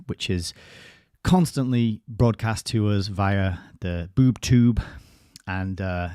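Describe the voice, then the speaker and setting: Low-voiced man hosting a dry, close live stream. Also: darkish tone, no echo. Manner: rambling, conversational, wry asides. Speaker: host of a live-streamed commentary show. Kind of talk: solo live-stream commentary. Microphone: close desk microphone.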